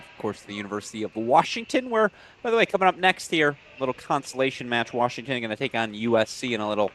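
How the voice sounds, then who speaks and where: A man doing play-by-play commentary for a college tennis broadcast, brisk and informative, with brief apologetic asides. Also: mid-range voice, a bit bright, slight background noise.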